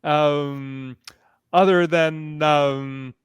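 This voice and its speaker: A man speaking as a panelist in a casual online discussion, with hesitant, measured phrasing. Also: fairly high pitch, steady tone, smooth, no echo. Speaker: podcaster and panelist. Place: online video call.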